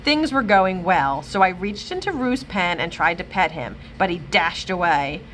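A woman librarian reading a children's chapter book aloud with expressive, animated storytelling. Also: fairly low pitch, normal speed, a little hiss or hum, rough recording. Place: library, indoors.

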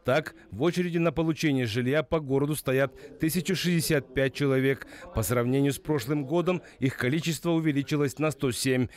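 Man reading a news voice-over. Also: on the high side. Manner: steady, formal reading. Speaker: news reporter.

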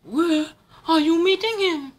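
A man with a Trinidadian accent voicing a storybook owl that hoots and invites, loud and drawn out. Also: very high-pitched, takes it slowly, voice pushed up. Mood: curious. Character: owl, hooting, inviting, easily startled predator.

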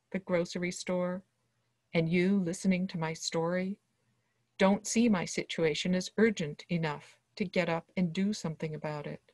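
A woman in her sixties reads a personal text aloud as a guest reader. Her voice sits slightly low for a woman. The sound is dry, with very little room in it, coming through a video call. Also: darkish tone, dry recording. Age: 60s. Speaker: history professor, guest reader. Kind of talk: introduction and reading of a personal monologue aloud. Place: small dim wood-walled room indoors.

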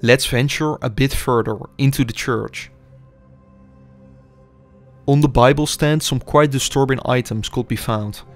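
A young man giving measured, scripted documentary narration as an urban explorer. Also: medium pitch, soft background music. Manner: measured, explanatory delivery.

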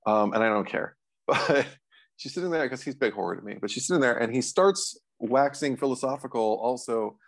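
Guy in his 40s just chatting movies like a podcast cohost, normal mid pitch, on a video call. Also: a little fast. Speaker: podcast co-host discussing horror films. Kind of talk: casual film discussion. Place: small room at home, on a video call.

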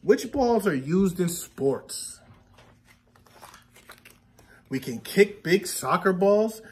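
Male teacher, 30s, fairly high voice, unhurried storytime read-aloud with questions for little listeners. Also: a little mellow. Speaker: teacher reading aloud to young children. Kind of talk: picture book read-aloud with questions to children. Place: indoor classroom.

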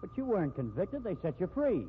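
A man's voice: high voice, sing-song, fast, slight background noise. An actor playing a disapproving, well-dressed society man in a 1950s radio drama, skeptical and indignant. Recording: tinny 1950s radio recording.

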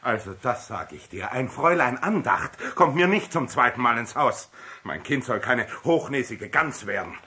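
A man's voice: medium pitch, animated, normal speed, bright, forceful tone. A man playing a firm but good-humoured company director and father, emphatic and decisive, in a 1960s German children's radio play. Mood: stern.

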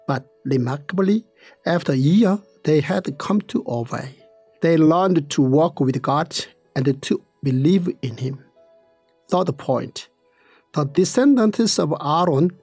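The slow, measured voice on a devotional audio guide, close and dry, over soft music. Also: mid-range voice, husky, dry recording. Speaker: narrator. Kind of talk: scripted narration of Bible study.

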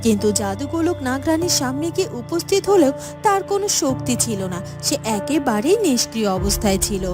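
A woman's voice: sits high, very animated, brisk. A woman narrating a scripted fairytale cartoon. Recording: music behind the voice.

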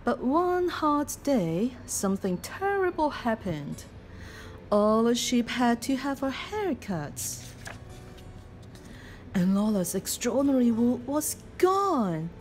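A woman reading a children's picture book aloud in an expressive, deliberate storytelling manner. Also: on the high side, slight background noise. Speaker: storybook reader.

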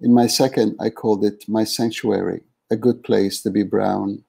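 A man in his fifties, from Yemen, reads from his own memoir in a measured, steady way. His voice is gravelly and husky, with a very dark, mellow tone. He speaks over an earbud headset on a video call from a small room at home. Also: lowish voice. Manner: measured reading, small restarts. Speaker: author reading from his book. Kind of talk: reading aloud from a memoir.

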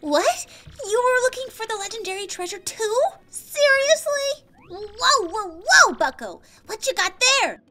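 A woman voicing a perky, excitable young female Goomba student in a video game, talking in sassy valley-girl slang. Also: very high voice, a little slow, heavily acted. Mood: amazed. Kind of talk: video game dialogue voice acting. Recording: game music behind the voice.

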